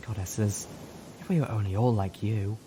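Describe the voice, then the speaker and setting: A man voicing a gentle, caring female unicorn healer with soft, articulate speech in an audiobook. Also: on the low side, fairly even intonation, moderate pace, noisy background, natural voice. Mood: tender. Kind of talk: audiobook character voice.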